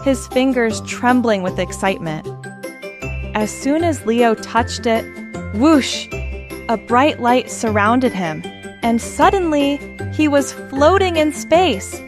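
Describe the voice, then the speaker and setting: Female children's storyteller, higher-pitched, expressive and unhurried, over prominent jingly children's music. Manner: expressive, simple phrasing addressed to children. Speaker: children's storybook reader and channel host. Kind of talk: read-aloud storytelling for young children.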